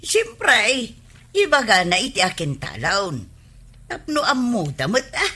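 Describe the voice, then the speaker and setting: A woman's voice: on the high side, wildly swooping pitch, slow pace, bright, forceful tone, slightly rough, full character voice. An actress playing a complaining, meddlesome village woman in an Ilocano radio comedy drama, speaking with an Ilocano accent. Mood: disapproving.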